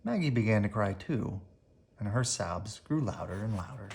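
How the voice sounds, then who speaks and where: An expressive storybook reader with a very animated delivery. The pitch moves up and down freely, and key words get drawn out for dramatic emphasis, as in a bedtime read-aloud for children. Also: normal speed, gravelly, slight background noise.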